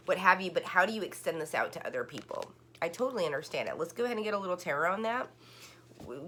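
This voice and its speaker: A woman's voice: on the low side, bright, forceful tone, slight background noise. A woman giving a casual online tarot reading, rambling and full of "like" fillers and restarts. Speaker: tarot card reader.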